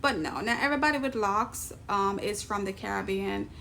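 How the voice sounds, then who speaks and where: A woman's voice: medium pitch, normal speed, creaky, sharp tone, slight background noise. A woman from Jamaica with a Jamaican accent, chatting casually to camera in her vlog, often laughing and adding emphatic asides. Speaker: vlogger.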